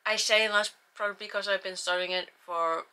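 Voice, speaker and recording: Young Icelandic-accented woman, bright-toned book vlogger, chatty and rambling. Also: mid-range voice, fairly even intonation, brisk, smooth. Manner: chatty, self-deprecating, rambling with restarts. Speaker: book channel host. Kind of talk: casual book review vlog.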